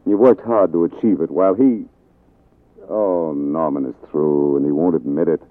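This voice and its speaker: A man's voice: extremely low pitch, fairly even intonation, moderate pace, no echo. A man acting an urgent, exasperated, pleading figure in a 1940s radio drama, emphatic and insistent. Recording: dry, muffled 1940s radio recording.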